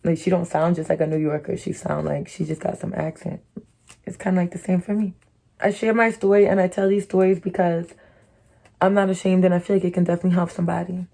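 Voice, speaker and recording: A woman's voice: fairly low pitch, level tone, quick pace, vocal fry, warm tone. A young woman from Connecticut with her own distinctive American accent, telling a candid, profane storytime, full of "like" and "you know". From Connecticut, USA. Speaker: YouTuber.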